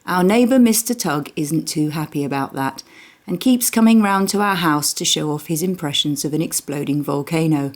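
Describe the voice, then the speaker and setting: Low-pitched woman reading a children's story. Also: moderate pace. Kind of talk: expressive children's audiobook narration.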